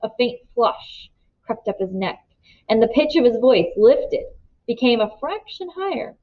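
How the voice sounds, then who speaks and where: A woman reading a novel aloud as an expressive storybook narrator. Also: a little high, unhurried, muffled recording.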